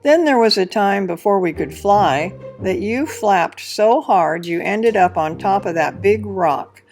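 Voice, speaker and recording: A woman voicing an excitable young boy pelican in an expressive read-aloud of a children's picture book. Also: medium pitch, unhurried, gravelly. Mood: playful. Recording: music behind the voice.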